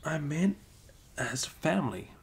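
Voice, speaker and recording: A man's voice: sing-song, takes it slowly, creaky, exaggerated voice. A young man playing a sleepy, caring, teasing best friend in an intimate ASMR roleplay, murmuring drowsily in casual slang. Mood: flustered. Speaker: audio roleplay performer. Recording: low rumbling background noise. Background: faint rumble and blanket noises.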